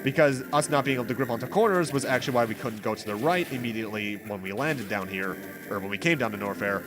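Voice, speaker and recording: A man's voice: mid-range voice, expressive, quick pace. A man giving casual, rambling let's play commentary in a chatty, mildly wry way. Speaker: gaming commentator, host. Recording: game music behind the voice. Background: video game music.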